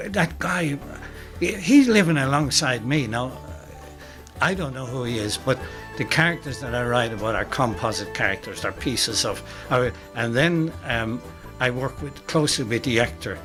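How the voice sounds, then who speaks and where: Irish man explaining haltingly, faint music behind. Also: medium pitch, a bit bright. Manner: halting, repeats words while explaining. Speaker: radio dramatist being interviewed. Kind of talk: informal interview answers.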